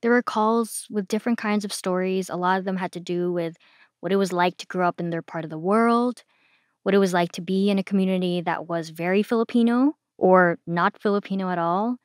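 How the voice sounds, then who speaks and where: A woman's voice: lowish voice, a little fast, no room sound, studio quality. A woman hosting a podcast, talking in a friendly conversational way. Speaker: podcast host.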